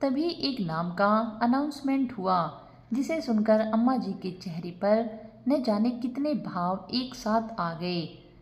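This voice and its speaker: A woman's voice: on the high side, expressive, slow, darkish tone, lo-fi sound. A woman narrating a scripted moral family story as a storyteller.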